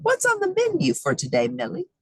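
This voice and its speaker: A woman's voice: very high voice, huge pitch swings, fairly quick, dry recording, studio quality, pitched above the performer's own voice, full character voice. A woman voicing an easygoing male rancher who talks in a folksy drawl, in a storybook reading. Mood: cheerful.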